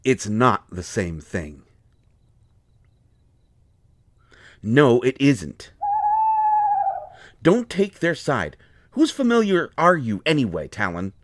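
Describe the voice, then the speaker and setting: A man playing an indignant, hurt healer mage in a fantasy roleplay audio, expressive, sarcastic and exasperated. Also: mid-range voice, slow pace, dry recording, clean studio sound. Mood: jealous, bitter. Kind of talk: dramatic roleplay audio acting.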